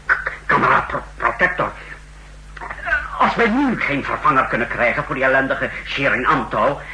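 An actor playing a tense, defensive secret-service chief in a 1960s radio drama, with clipped, emphatic, formal delivery. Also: male voice, mid-range voice, lively intonation, moderate pace, natural voice. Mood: anxious, defensive. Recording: hissy, humming 1960s radio recording.

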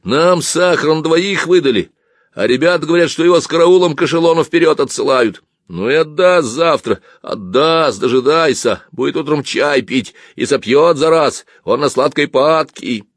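A man voicing a loud, hot-tempered young village soldier, bawling and grumbling colloquially in an audiobook. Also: a little high, normal speed, dry recording, clean studio sound, voice pushed up, lightly acted.

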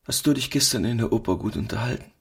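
An actor playing a meek, tender, sorrowful husband who speaks gently and haltingly in a radio drama. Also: male voice, medium pitch, gravelly, slightly rough. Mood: subdued, kindly. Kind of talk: German radio drama acting.

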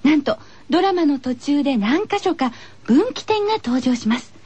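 A woman's voice: high voice, lively intonation, normal speed, darkish tone, no echo. A woman hosting a radio show, moving between scripted introductions and friendly chat.

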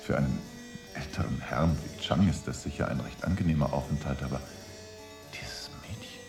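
A man's voice: rumbling low, normal speed, gravelly, reverberant, over music. An actor playing a restless, suspicious young English vice-consul in his twenties in a German radio drama, questioning and opinionated. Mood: puzzled, disapproving. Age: 20s.

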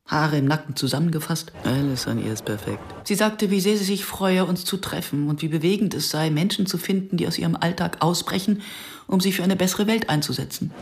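Wry gravelly-voiced woman narrator. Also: on the low side, brisk. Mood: wry narration. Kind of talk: radio drama narration. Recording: noisy background ambience.

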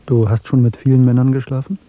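An actor playing an embittered, fatalistic dissident in a German radio drama, brooding and deliberate in his phrasing. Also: mid-range voice, little pitch movement, no echo, close to an everyday voice. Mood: bitter, intense. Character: embittered, fatalistic male dissident, secretly hating the Party. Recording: muffled, dry 1970s radio production.

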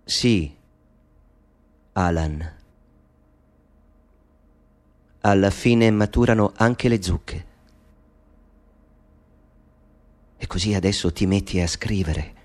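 A man voicing a gentle elderly mother, low and soft. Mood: wry tender. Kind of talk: radio drama monologue. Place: studio.

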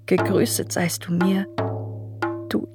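A grieving woman recites sacred verse in a radio drama. Her voice is lowish and creaky, and she moves extremely slowly, letting phrase ends fade into breath. Loud music plays behind her. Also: level tone. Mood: sorrowful awe. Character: mourning woman lamenting the crucified Christ.

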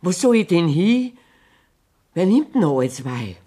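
A woman's voice: lowish voice, sing-song, measured pace, mellow, husky, full character voice. A distressed Bavarian farmer's wife speaks plainly and plaintively in dialect. Her intonation rises and falls strongly, in the manner of a radio play. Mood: desperate, insistent.

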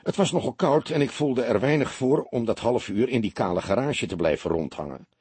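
A man's voice: mid-range voice, lively intonation, brisk, a little mellow, slightly rough, no room sound. A man voicing an earnest, polite businessman explaining himself in an audiobook. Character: earnest, round-faced businessman giving his account.

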